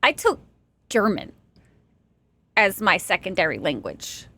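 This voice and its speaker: A young woman from Texas streaming a game, chatting casually with her viewers, self-deprecating and quick to laugh. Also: lowish voice, huge pitch swings, gravelly, pushed and bright. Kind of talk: casual chat with stream chat while gaming.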